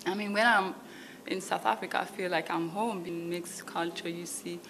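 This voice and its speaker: Low, flat-voiced young woman, hesitant. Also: a little hiss or hum. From Ethiopia, raised in the Netherlands. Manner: halting, lots of fillers and "you know what I mean". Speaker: model, guest. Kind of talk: casual interview. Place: apartment at home.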